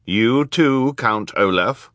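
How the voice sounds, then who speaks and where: A man's voice: mid-range voice, big pitch swings, moderate pace, dry recording, studio quality, pitched above the performer's own voice, natural voice. A man voicing a stern, authoritative woman judge with a formal, commanding manner in an audiobook. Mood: firm. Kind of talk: audiobook character acting.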